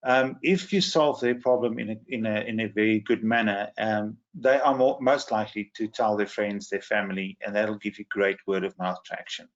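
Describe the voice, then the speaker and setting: Croaky man presenting a training webinar; lowish voice, muffled video call sound. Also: fairly even intonation, normal speed, few pauses. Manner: hesitant, many fillers. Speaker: social media trainer.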